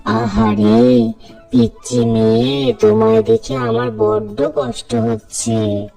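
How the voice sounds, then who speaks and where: A woman's voice: extremely low pitch, sing-song, slow pace, warm tone, soft background music, full character voice. A woman voicing a greedy, scheming male sorcerer in a cartoon, sly and gloating. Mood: sly, wheedling. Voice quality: gravelly. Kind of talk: cartoon voice acting.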